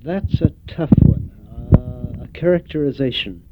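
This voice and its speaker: An older man giving an oral history interview, reflective and hesitant, pausing often with fillers. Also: mid-range voice, expressive, heavy background noise. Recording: tinny phone-like recording.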